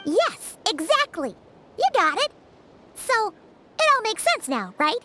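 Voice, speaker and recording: A woman's voice: extremely high pitch, big pitch swings, unhurried, full character voice. A woman voicing a tiny, chirpy female fairy companion who explains things eagerly and chatters on. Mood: explaining. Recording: clear background noise.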